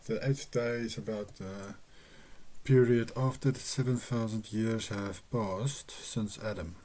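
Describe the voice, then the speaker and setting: Afrikaans-speaking guy, slow and earnest, kinda mellow voice, lots of restarts. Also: lowish voice, steady tone. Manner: earnest, measured, with hesitations and restarts. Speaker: religious video host. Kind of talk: slow personal teaching monologue.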